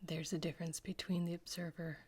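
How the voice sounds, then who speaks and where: A woman leading a guided meditation, speaking softly in a breathy, half-whispered voice with slow, gentle repetition. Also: fairly low pitch, little pitch movement.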